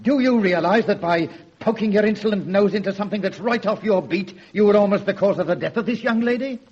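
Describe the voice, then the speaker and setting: An actor playing a stern, pompous police inspector in a radio drama, emphatic in delivery. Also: male voice, sits high, fast, darkish tone, lo-fi sound, a little hiss or hum, natural voice. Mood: exasperated.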